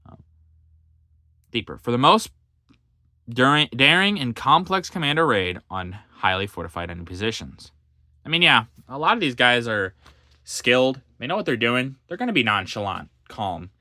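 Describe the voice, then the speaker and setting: Man reading history aloud, halting. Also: mid-range voice, big pitch swings, pushed and bright, no echo, studio quality. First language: English. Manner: halting reading with fillers and self-corrections. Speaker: history book reader and commentator. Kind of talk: reading aloud a history book with casual commentary.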